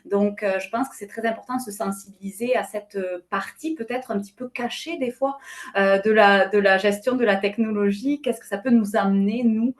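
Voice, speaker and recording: A woman with a southwestern French accent talks earnestly in a webinar, pausing often on fillers as she searches for words. Her voice is of middle pitch and reaches the listener through a video call. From France. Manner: earnest, hesitant with many fillers. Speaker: webinar panelist. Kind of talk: webinar talk.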